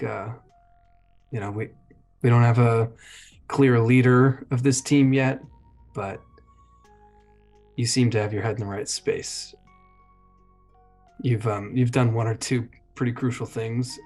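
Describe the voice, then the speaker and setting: A man improvising dialogue as a tabletop roleplaying player, speaking in a measured, hesitant, earnest way. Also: medium pitch, darkish tone. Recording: music running behind the voice.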